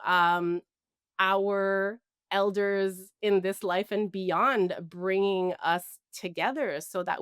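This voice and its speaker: A woman's voice: mid-range voice, a bit bright, smooth, dry recording, clean studio sound. A woman guest on an interview show, talking reflectively with many fillers and restarts. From Canada. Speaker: guest, mental health counselor. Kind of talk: conversational interview.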